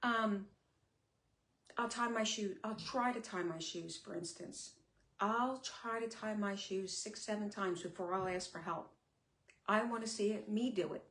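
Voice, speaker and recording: A middle-aged woman talking candidly on a live stream, her speech sometimes a little slurred, with many pauses and fillers. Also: mid-range voice, a bit bright. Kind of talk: casual live-stream talk. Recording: echoey room.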